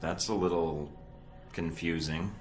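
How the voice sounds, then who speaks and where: An actor playing a cocky young spy recruit in a video game, with a dry, sardonic delivery. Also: male voice, deep voice, steady tone, slow pace. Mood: wary, sardonic. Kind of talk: video game cutscene acting. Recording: roomy sound with music behind.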